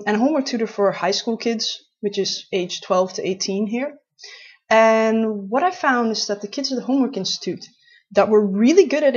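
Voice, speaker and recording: Husky Dutch-accented woman in her late 20s. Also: a little high. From Netherlands. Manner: chatty, rambling, with many restarts. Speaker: vlogger. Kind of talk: casual talk to camera. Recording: dull lo-fi recording.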